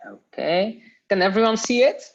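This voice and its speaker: A woman's voice: medium pitch, animated, moderate pace, gravelly. A woman from Poland teaching a casual online Dutch class, giving instructions in a friendly, hesitant way with fillers. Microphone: computer mic on a video call. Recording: dull lo-fi video call audio.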